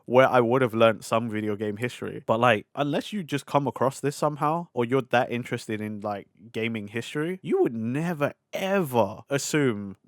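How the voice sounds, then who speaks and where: A man's voice: mid-range voice, normal speed, hardly any pauses, no room sound, clean studio sound. A British man co-hosting a podcast, talking about film in an informal, enthusiastic, slangy way. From Britain. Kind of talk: casual film discussion chat.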